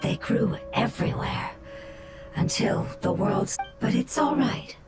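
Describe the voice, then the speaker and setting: A serene, weary elemental spirit from an anime game. The voice is fairly high, breathy and whispery, with a soft, wistful delivery. Loud music plays behind it. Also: female voice, takes it slowly. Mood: wistful, resigned. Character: gentle, weary, serene elemental life-form, last of its kind. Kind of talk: anime video game voice acting.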